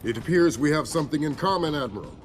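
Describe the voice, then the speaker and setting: An actor playing a stern, measured intelligence chief in a video game, businesslike and authoritative. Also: male voice, on the high side, expressive, faint music behind, close to an everyday voice. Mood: diplomatic, firm.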